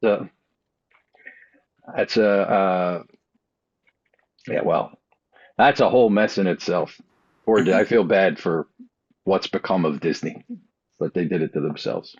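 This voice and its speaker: A man's voice: low voice, slow pace, pauses often, husky. A man chatting casually as a podcast panelist, heard on slightly dull, lo-fi audio.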